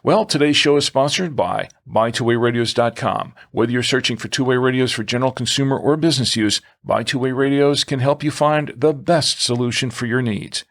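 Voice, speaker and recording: Male podcast host, halting conversational delivery. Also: mid-range voice, a bit bright. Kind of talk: conversational podcast hosting with listener mail.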